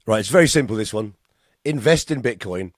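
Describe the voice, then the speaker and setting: A man's voice: on the low side, expressive, fairly quick, no echo, studio quality. A man, co-hosting a podcast in an easygoing, laughing way. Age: 50s. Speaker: podcast co-host. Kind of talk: casual banter.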